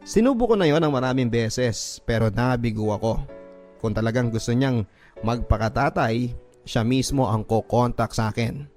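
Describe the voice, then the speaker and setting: A man's voice: mid-range voice, animated, moderate pace, a little mellow. Filipino man narrating drama over music. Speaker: radio drama host reading a listener's letter. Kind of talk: dramatic first-person scripted narration. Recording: music bed behind the voice.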